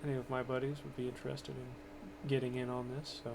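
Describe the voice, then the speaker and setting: A man streaming a racing game from home, talking in a low-key, monotone, rambling way and keeping his voice down. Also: a little fast, gravelly. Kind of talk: casual gameplay commentary. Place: home, kept quiet for others in the house. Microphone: cheap microphone. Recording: noisy cheap mic with game sound.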